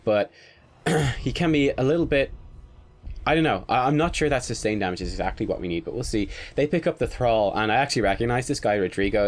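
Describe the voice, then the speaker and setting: A young man with a stuffed-up nose from a cold, chatting through a game draft in casual, analytical commentary. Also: lowish voice. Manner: chatty, analytical, with little laughs. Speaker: gaming commentator.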